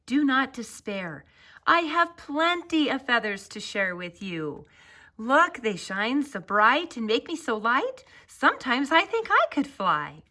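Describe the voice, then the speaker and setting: Woman voicing a bright, kind storybook ostrich. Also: sits high, very animated, slow, voice pushed up, exaggerated voice. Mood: gently encouraging. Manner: gentle, earnest, reassuring storybook delivery. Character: kind, encouraging ostrich friend of a princess. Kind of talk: children's storybook character voice.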